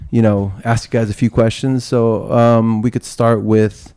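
A man's voice: lowish voice, flat delivery, unhurried, warm tone, clean tone, no echo. Chatty male podcast host. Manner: laughs often, friendly filler-heavy questions. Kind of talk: casual interview chat.

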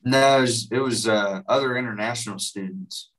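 A man's voice: lowish voice, moderate pace, a bit bright, distant mic, low-quality recording. A young man from West Virginia with a West Virginian accent, chatting casually as a musician guest, rambling with lots of likes and you-knows. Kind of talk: casual interview chat.

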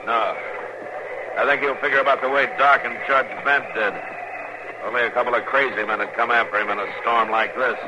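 A man's voice: mid-range voice, steady tone, a little fast, highs cut off, natural voice. An actor playing a stern, determined American frontier lawman in a radio western, with a terse, firm delivery. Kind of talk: 1950s radio drama acting. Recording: hissy 1950s radio recording.

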